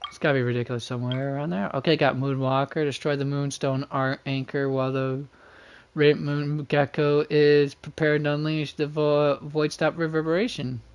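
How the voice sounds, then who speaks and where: A man's voice: a little high, flat delivery, normal speed, smooth. A man doing casual livestream game commentary, thinking aloud and muttering to himself in a folksy, slangy way. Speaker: game streamer.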